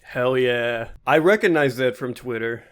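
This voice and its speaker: A young man co-hosts a podcast, slipping in dry jokes during easygoing back-and-forth. His voice sits at an ordinary middle pitch. His delivery is relaxed and unscripted. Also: a little slow, clean tone. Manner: dry, joking asides. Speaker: podcast co-host. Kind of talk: casual podcast chat.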